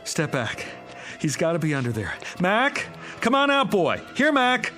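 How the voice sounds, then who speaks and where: A man's voice: high voice, wildly swooping pitch, a little slow, sharp tone, soft background music, heavily acted. An actor playing a frantic father in a radio drama, speaking with urgent emotion. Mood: agitated. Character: frantic father whose small daughter has vanished.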